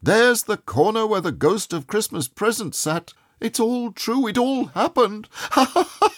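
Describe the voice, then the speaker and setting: Man voicing a giddy old miser, high-pitched, breathless, exclaiming, swooping pitch, storybook. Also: huge pitch swings, a little slow, husky, no echo, clean studio sound, full character voice. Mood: overjoyed. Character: elderly miserly man, giddy with joy and reformed.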